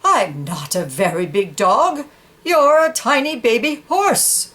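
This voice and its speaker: A woman acts out a big horse from a picture book, proud of its mane and full of condescension. The voice is fairly high and swings widely in pitch. It teases in slow, cooing baby talk. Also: lively intonation, fairly bright tone, slight background noise. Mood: indignant. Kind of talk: children's picture book read aloud.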